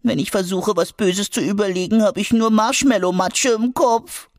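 A man voicing a small, anxious creature with long spoon ears in a children's audiobook, in a high squeaky voice. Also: moderate pace, dry recording, clean studio sound, close to an everyday voice. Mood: pleading. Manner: high squeaky, whiny then gleeful. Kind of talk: audiobook character voice.

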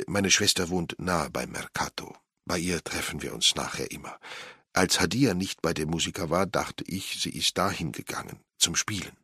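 Man voicing a hesitant, gentle Italian man, low. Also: slightly rough, dry recording, studio quality, exaggerated voice. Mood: gentle, explaining. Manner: hesitant, soft-spoken, halting. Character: gentle Italian man explaining himself in broken speech.

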